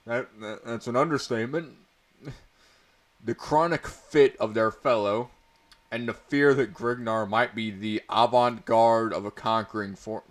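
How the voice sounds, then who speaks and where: A man narrating a story aloud in a portentous, mock-dramatic way, with amused asides. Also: medium pitch. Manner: portentous, breaking into amused commentary.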